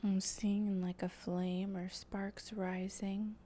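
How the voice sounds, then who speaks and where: A woman leading an improvised guided meditation in a soft, breathy voice that often slips toward a whisper, speaking gently and haltingly with long pauses. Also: medium pitch, level tone, slight background noise. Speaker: guided meditation host and channeler.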